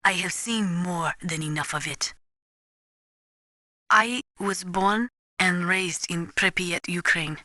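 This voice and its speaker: A woman playing an earnest, resolute Ukrainian weapons analyst in a video game, speaking gravely and deliberately as if lecturing. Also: fairly low pitch, pushed and bright, no echo, studio quality. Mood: somber, resolute. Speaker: military advisor briefing a soldier. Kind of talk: dramatic video game voice acting.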